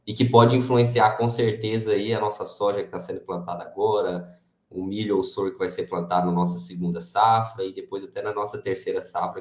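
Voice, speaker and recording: Low male guest, agreeable and hesitant, with roomy echo on the recording. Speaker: agronomy researcher guest. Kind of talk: casual interview.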